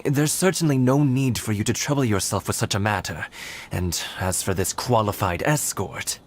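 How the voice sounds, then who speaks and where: A man's voice: fairly low pitch, normal speed, lightly acted. A man playing a wary guard in a video game, formally respectful but doubtful. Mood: wary, incredulous. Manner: formal, hesitant objections.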